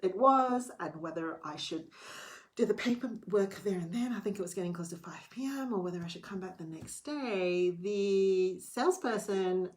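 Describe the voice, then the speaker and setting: A woman's voice: mid-range voice, animated, normal speed. A middle-aged woman talking candidly to camera in a casual late-night vlog, chatty and a little theatrical. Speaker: vlogger.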